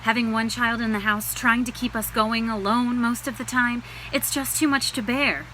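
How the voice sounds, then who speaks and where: A woman's voice: fairly high pitch, fairly even intonation, normal speed, bright, forceful tone, slight background noise, poor audio. A woman playing a weary, earnest, trusting pregnant shop owner in a read-through of a stage musical. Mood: troubled, confiding. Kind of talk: musical table read acting.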